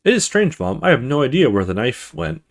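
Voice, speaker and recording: An earnest little girl from a horror visual novel confides in her mother, read out by a man. The delivery is childlike and animated, with the pitch often lifting. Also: fairly quick, gravelly. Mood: puzzled.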